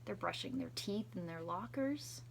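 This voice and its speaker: A woman's voice: fairly low pitch, animated, moderate pace, creaky, noisy background. A woman reading a children's picture book aloud expressively, adding chatty asides as a school storytime reader.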